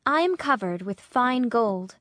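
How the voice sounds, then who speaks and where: A woman's voice: a little high, expressive, a little slow, a bit bright, dry recording, clean studio sound. A woman voicing a compassionate male statue prince who talks in an earnest, pleading way. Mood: earnest, gentle. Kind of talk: storybook reading.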